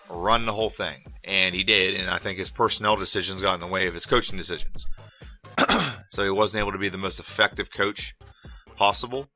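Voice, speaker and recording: A man's voice: low voice, sharp tone. A man talking casually to his viewers as a sports vlogger, sounding nasal from a head cold, rambling and restarting his sentences. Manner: loose, rambling, repeats words and restarts. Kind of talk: casual opinion monologue to camera.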